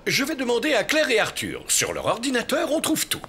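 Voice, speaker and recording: A man voicing an excitable, kindly animal doctor in a children's cartoon, with emphatic exclamations. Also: extremely high pitch, brisk, background noise, heavily acted. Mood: concerned.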